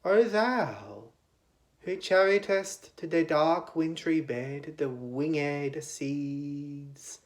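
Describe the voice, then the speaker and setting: A man giving a dramatic recitation of poetry in a declamatory manner, with long pauses between phrases. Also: a little high, expressive. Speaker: poetry reader.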